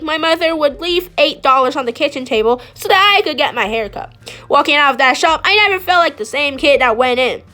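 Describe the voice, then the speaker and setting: A woman reading a children's picture book aloud in an expressive, animated way, with dramatic emphasis on key phrases. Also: high voice. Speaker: storybook reader.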